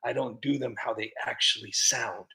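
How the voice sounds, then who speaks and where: A man's voice: mid-range voice, steady tone, moderate pace, sharp tone. A man hosting a comedy livestream, chatting casually and rambling with lots of fillers and restarts. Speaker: comedy channel host.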